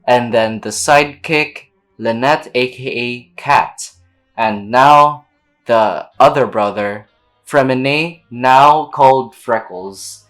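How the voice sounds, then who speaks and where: A woman's voice: extremely low pitch, takes it slowly. A woman streaming a game with casual, slangy, teasing commentary, reading dialogue aloud. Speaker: gameplay streamer. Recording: game music behind the voice.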